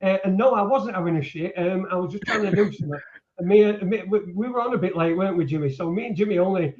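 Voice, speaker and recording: A man's voice: sits high, quick pace, muffled recording, a crowd in the background. A man hosting a casual live stream from a desk mic, rambling and restarting as he chats. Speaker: host. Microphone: desk mic and webcam at home.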